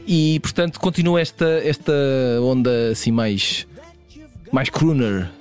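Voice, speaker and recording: A man presenting songs in casual radio chat, pausing often with fillers and restarts. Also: medium pitch, animated, soft background music. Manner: hesitant, many fillers and restarts.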